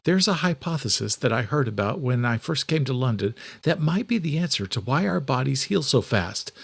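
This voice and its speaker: A man voicing an earnest, quick-thinking adult woman in an audiobook, explaining things insistently. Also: medium pitch, big pitch swings, creaky, husky, voice pushed up, heavily acted. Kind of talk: audiobook character dialogue.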